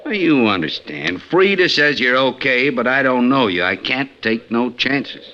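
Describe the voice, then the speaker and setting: An actor playing a wary, bossy outlaw gang leader in an old radio western, with a folksy, menacingly polite frontier manner. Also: male voice, mid-range voice, big pitch swings, unhurried, sharp tone. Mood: threatening. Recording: dull, noisy 1950s radio recording.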